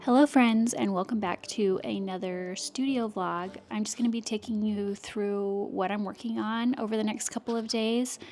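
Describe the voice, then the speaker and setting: A soft-spoken woman chatting casually to camera in her home art studio, talking through her work with lots of fillers. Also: medium pitch, steady tone, moderate pace, few pauses. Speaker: illustrator vlogger. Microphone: external microphone.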